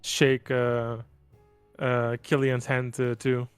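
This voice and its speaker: A man's voice: mid-range voice, level tone, slow pace, clear, resonant tone, no echo, faint music behind. A man playing a tabletop roleplaying game, describing actions casually in broken, informal phrases.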